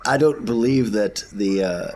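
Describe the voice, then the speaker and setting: Deep-voiced man role-playing. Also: a little slow, darkish tone. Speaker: tabletop game player. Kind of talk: casual in-character improvised role-play.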